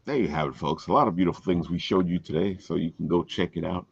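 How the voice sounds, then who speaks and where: A man's voice: low-pitched, fast, vocal fry, slightly rough, no room sound, highs cut off. A man hosting a casual promotional chat, talking in a folksy way and repeating phrases for emphasis. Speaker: show host.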